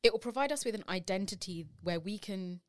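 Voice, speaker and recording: A British woman co-hosting a casual podcast, chatting in a relaxed way and asking her co-host questions. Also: medium pitch, brisk, no echo, studio quality. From Britain. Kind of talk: casual chat.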